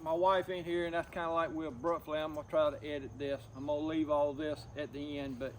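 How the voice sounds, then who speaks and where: A middle-aged man talking personally to camera, subdued and grieving, in a halting, plain-spoken, folksy way. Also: fairly high pitch, flat delivery, slight background noise. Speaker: outdoors vlogger.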